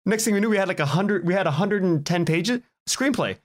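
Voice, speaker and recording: A man's voice: high-pitched, animated, brisk. A man, a podcast guest telling anecdotes in a casual, self-deprecating way.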